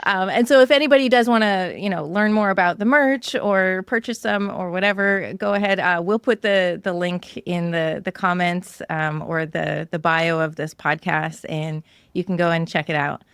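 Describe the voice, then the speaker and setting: A woman's voice: fairly low pitch, moderate pace, hardly any pauses, clear, resonant tone, no echo, clean studio sound. A woman hosting a podcast, talking in a casual interview style. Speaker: podcast host.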